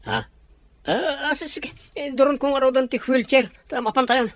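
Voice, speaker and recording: A woman playing a bitter older woman who blames the wife, speaking haltingly. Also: high voice, dry recording, close to an everyday voice. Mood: resentful.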